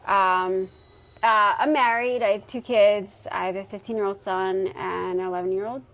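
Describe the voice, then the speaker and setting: A woman in her forties from Pennsylvania, a firefighter guest chatting casually in a podcast interview, relaxed and quick to laugh, with plenty of ums and uhs. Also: mid-range voice. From Pennsylvania, United States. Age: 40s. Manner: relaxed, laughs easily, many um and uh fillers.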